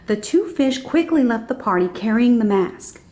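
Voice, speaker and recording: A woman's voice: on the high side, animated, moderate pace, mellow. A woman reading a children's storybook aloud as scripted narration.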